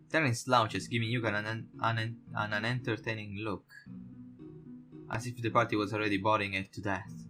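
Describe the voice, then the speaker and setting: A man with a fairly low voice narrates a visual novel for a gaming playthrough. He reads the prose casually and adds his own reactions, sometimes repeating a word as he goes. Guitar music plays clearly behind him. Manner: stumbles over and repeats words while reading. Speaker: let's player reading a visual novel aloud. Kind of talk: narrating a story game aloud with casual reactions. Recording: voice over guitar background music.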